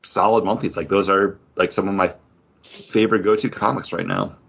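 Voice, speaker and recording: A man's voice: low voice, normal speed, vocal fry, fairly bright tone, slight background noise. A man hosting a podcast, chatting casually and informally in an off-the-cuff way. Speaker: podcast host. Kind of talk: casual chat, reading out award nominees. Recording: dull, lo-fi podcast audio.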